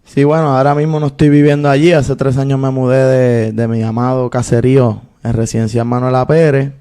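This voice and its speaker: A Puerto Rican man in his thirties, a guest recounting his life at length in an earnest, unhurried way with many fillers. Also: mid-range voice, little pitch movement, dark tone, clear, resonant tone. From Puerto Rico. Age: 30s. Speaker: guest, activist and filmmaker. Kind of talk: interview storytelling.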